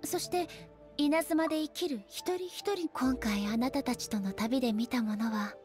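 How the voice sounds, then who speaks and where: Composed, high-voiced shogun goddess played by an actress. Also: female voice, music in the background, close to an everyday voice. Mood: solemn. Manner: formal, measured, stately. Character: composed, solemn female shogun deity, formal and reflective. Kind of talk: Japanese anime game voice acting.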